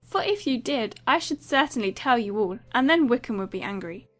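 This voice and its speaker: Woman acting a giddy young bride, high-pitched, gossipy, self-absorbed, rambling, period audiobook drama, music underneath. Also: lively intonation, moderate pace. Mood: flustered.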